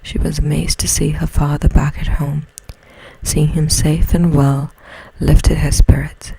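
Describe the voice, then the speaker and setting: Breathy whispering woman reading a bedtime story. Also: deep voice, little pitch movement, dry recording, low-quality recording. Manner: slow, hushed, soothing delivery. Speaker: storyteller, ASMR reader. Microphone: close mic.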